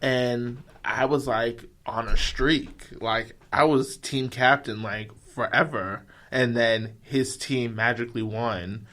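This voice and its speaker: Bright-voiced young man telling stories. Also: mid-range voice, slow, few pauses. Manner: loud, animated, swears freely. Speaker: podcast guest. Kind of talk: casual storytelling.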